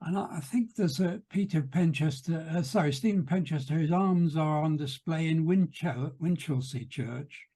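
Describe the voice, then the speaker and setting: Rambling man from Chester, a little high. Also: moderate pace, few pauses, mellow. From Chester, England. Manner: rambling, loses his words. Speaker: panel guest. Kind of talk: casual discussion.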